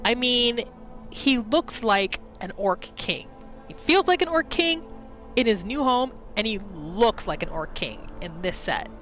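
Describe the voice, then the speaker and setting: A woman's voice: a little high, lively intonation, a little slow, fairly bright tone, faint music behind. A woman hosting a gaming video, narrating her roleplay playthrough in a casual, chatty storytelling way. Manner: chatty, informal, storytelling in third person.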